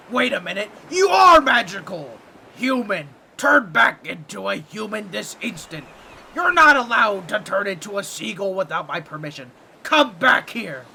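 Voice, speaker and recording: A man's voice: sits high, creaky, sharp tone, slight background noise, full character voice. A man voicing a boastful, scheming octopus merman with a grating, nasal, squawky voice and a pompous, awkward manner in a comedic audio roleplay. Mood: exasperated, indignant. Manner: theatrical, pompous, fumbling with awkward asides.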